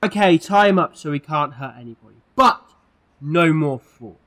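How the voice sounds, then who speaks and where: A man's voice: a little high, lively intonation, normal speed, sharp tone. A man playing an eccentric, moralising alien time traveller in an audio drama, speaking earnestly and emphatically. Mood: calming, practical. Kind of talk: fan audio drama acting.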